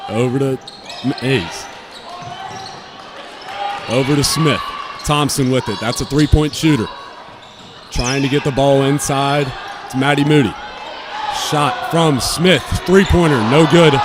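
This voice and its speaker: A man doing live play-by-play commentary of a basketball game from inside a school gymnasium. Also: mid-range voice. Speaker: play-by-play announcer. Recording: loud gym crowd and court noise. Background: crowd, bouncing basketball.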